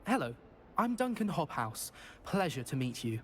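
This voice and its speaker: A man's voice: on the high side, sing-song, moderate pace, slight background noise. An actor playing a nervous, self-deprecating young male wizard student in a video game, speaking hesitantly and fussily. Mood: anxious. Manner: hesitant, fussy and self-conscious. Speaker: game character.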